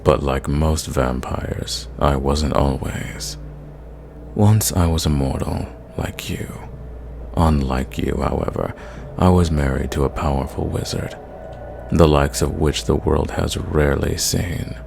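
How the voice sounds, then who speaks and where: Man acting as a very deep-voiced, gravelly ancient vampire. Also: a little mellow, a little hiss or hum, exaggerated voice. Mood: wry, reminiscing. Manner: theatrical, condescending, measured, drawing out words. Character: ancient, sadistic, condescending male vampire, imprisoned in a castle. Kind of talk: ASMR roleplay acting.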